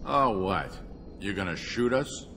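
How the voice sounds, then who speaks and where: An actor playing a brazen, sneering human looter in a video game, flippant and defensive. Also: male voice, lowish voice, expressive, unhurried, music in the background. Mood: defiant.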